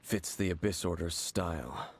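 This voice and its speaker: A man playing an aloof, world-weary warrior in a video game, speaking in a measured, grave, guarded way. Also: low-pitched, vocal fry, slight background noise. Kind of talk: video game character acting. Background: game music.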